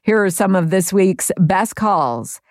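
A woman host presenting a radio talk show, introducing guests and callers in a measured, announcing manner. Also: mid-range voice, expressive, no room sound, studio quality.

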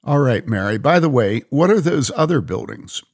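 A man reading a calm young traveler. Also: mid-range voice, normal speed, husky, pitched above the performer's own voice. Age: young adult. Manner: calm and understated.

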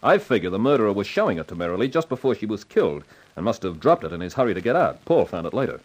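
An actor playing a self-assured, slangy, wry amateur sleuth in a 1950s radio crime drama. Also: male voice, lowish voice, big pitch swings, very fast, darkish tone, low-quality recording. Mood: explaining. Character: wisecracking, hard-boiled male amateur sleuth, self-assured.

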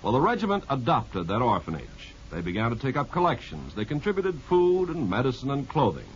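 Male 1950s radio announcer, mid pitch, scripted narration, vintage muffled sound. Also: wildly swooping pitch, fairly quick, slight background noise.

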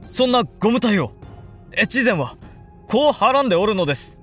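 Earnest, animated young samurai heir. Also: male voice, sits high, normal speed, faint music behind. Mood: shocked protest. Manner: formal, emotional samurai speech. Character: earnest, frustrated young samurai heir, dutiful but resentful. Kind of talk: period audio drama acting.

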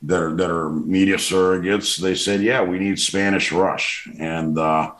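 Deep-voiced loud man with roomy echo. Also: fairly even intonation, measured pace, a little hiss or hum. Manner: loud, forceful, with many restarts and fillers. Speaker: political commentator. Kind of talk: casual interview.

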